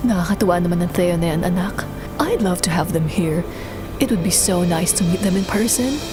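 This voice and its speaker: A woman's voice: fairly low pitch, normal speed, music in the background, close to an everyday voice. A woman acting a loving, doting mother in a Tagalog audio drama, speaking tenderly. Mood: pleased. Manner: tender, mixes in English phrases.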